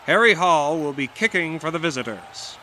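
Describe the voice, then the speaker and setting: An actor playing an excited 1920s football play-by-play announcer, calling the game in shouts. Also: male voice, a little high, moderate pace, a little hiss or hum, low-quality recording. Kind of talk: old-time sports commentary. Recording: music behind the voice.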